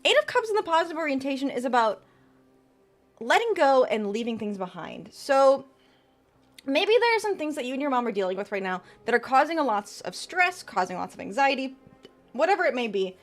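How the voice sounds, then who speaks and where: A woman's voice: high-pitched, sing-song, fairly quick, faint music behind. A woman VTuber streamer chatting casually with her viewers and giving tarot readings. She laughs often and trails off and restarts as she talks.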